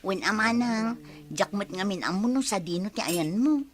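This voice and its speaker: A woman's voice: medium pitch, lively intonation, moderate pace, crowd noise, lightly acted. A woman playing a sociable young woman in a radio comedy drama, speaking in a chatty, friendly manner. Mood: friendly, gossipy.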